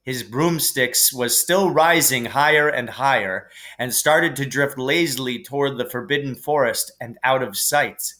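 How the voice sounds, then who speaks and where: A man reading a children's novel aloud as expressive story narration, like a teacher reading to a class. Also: mid-range voice, measured pace, hardly any pauses.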